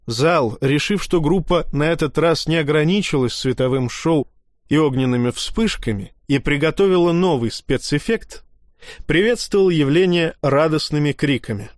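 A man reading a humorous fantasy tale aloud as an audiobook narrator, lively in his storytelling. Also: fairly high pitch, no echo, studio quality.

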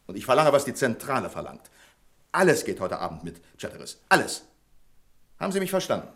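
An actor playing a strict, pedantic bank branch manager in a German radio play, with a commanding, insistent manner. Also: male voice, mid-range voice, very animated, fairly quick, a bit bright. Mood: stern, demanding.

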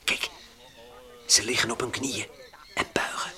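An actor playing a brave, level-headed space adventurer in a Dutch radio play, hearty, reassuring and gently scolding. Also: male voice, medium pitch, fairly even intonation, a little slow, in a whisper. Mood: chiding.

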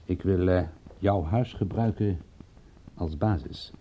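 A man playing a cynical, excitable private detective in a radio drama, speaking in a wry, emphatic, husky voice. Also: low-pitched, slow, slight background noise. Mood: brisk, instructing. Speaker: detective and narrator. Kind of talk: radio drama acting and narration. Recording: dull, muffled old radio recording.

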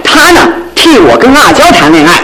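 A man's voice: high voice, big pitch swings, fairly quick, a loud crowd, poor audio. An actor playing a breezy, cheeky young man in love in a radio comedy, teasing and chuckling. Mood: coaxing, amused. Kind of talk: old-fashioned radio comedy acting.

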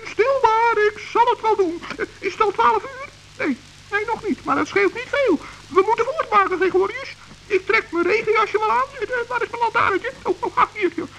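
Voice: extremely high pitch, gravelly, fairly bright tone, slight background noise, full character voice, poor audio. A performer voicing a small, kind, earnest forest gnome in an old children's radio play. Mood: hurried. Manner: earnest, hurried, stammering when flustered.

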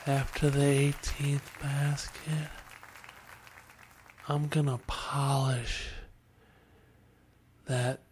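A woman's voice: low voice, dark tone. A woman playing a flirty, adoring female fan in a radio spot, with suggestive innuendo. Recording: noisy crowd ambience behind voice.

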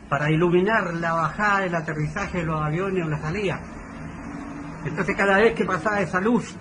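A man's voice: high voice, a bit bright, low-quality recording. An older man with a Chilean accent giving commemorative testimony, speaking in short, emphatic, declamatory phrases, partly from notes. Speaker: community elder giving testimony. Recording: clear background noise.

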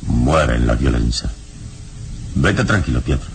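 Wise calm hero in an old radio drama, super deep voice, measured and preachy, bit of noise. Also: male voice, creaky, exaggerated voice. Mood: firm, admonishing. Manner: measured, sententious, authoritative. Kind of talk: old radio serial acting. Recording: noisy old radio serial recording.

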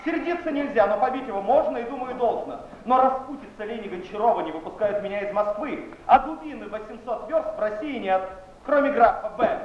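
An actor playing a proud, impulsive, ironic poet, declaiming theatrically in a muffled, noisy 1970s stage recording. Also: male voice, very high voice, a little fast, reverberant. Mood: indignant. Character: Pushkin, proud, mocking, impulsive male poet.

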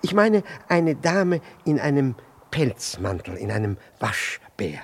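An actor playing a middle-aged gentleman in a radio play, fussy, over-apologetic and nervously insistent, stammering and repeating himself. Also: medium pitch, very animated, slow, slightly rough, full character voice. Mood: nervous, pleading. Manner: stammering, hesitant, repeats words anxiously. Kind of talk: 1960s German radio play acting.

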